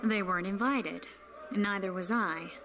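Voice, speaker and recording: An actress playing a politically shrewd woman of the court, measured and wry, in a video game. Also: mid-range voice, background noise, lightly acted. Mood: explanatory. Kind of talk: video game cutscene acting.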